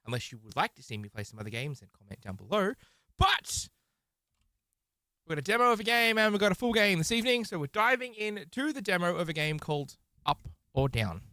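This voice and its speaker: A man's voice: sits high, sing-song, fairly quick, sharp tone, no room sound, studio quality. A man hosting a casual game live stream, with a theatrical, showman-like way of talking.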